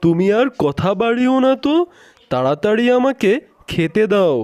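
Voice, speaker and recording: High-voiced cheerful village husband acted by a man. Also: sing-song, moderate pace, a little mellow, clean tone, no echo. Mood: happy, impatient. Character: hungry, cheerful village husband and fish seller. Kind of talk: cartoon voice acting.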